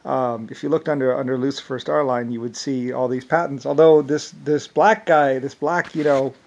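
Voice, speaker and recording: A man with an Irish accent talking in a rambling monologue, halting often with restarts and fillers. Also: medium pitch, fairly even intonation, a little mellow, dull audio. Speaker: self-described inventor.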